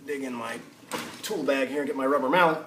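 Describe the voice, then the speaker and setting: A man thinking aloud in an unhurried way as he gives a casual hands-on tutorial at a workbench. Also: medium pitch. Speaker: hobbyist demonstrating a restoration. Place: indoor home workshop. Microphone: camera on the workbench, speaker off-screen. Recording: noisy camera audio, background noise.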